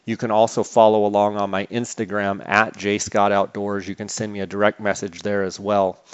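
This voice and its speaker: Man, podcast host, low-pitched, little pitch movement, conversational, muffled recording. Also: flat delivery, normal speed, smooth.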